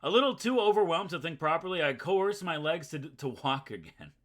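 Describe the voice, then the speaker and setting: A man's voice: on the high side, big pitch swings, a little fast, a bit bright. A man reading the inner monologue of an anxious, self-loathing schoolboy in a visual novel, gloomy and wordy. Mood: resigned. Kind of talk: visual novel read aloud.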